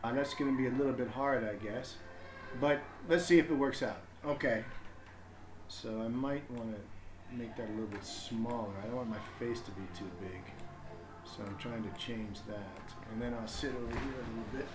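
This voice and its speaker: A man's voice: medium pitch, normal speed, music in the background. A man chatting casually and hesitantly as he hosts a livestream, thinking aloud as he goes. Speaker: parent hosting a livestream storybook reading. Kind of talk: casual livestream setup chat. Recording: echoey room with background noise.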